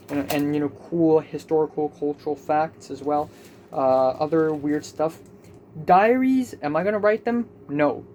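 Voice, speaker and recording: Young man, rambling self-mocking vlog chat, slightly high voice, faint room hiss. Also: a little mellow. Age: young adult. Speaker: vlogger. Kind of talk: casual diary vlog.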